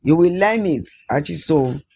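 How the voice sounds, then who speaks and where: A man's voice: a little high, lively intonation, normal speed, creaky, darkish tone, slightly rough, exaggerated voice. A man voicing a loyal, confident friend in a folktale, encouraging and resolute. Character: loyal, confident male friend rallying for battle.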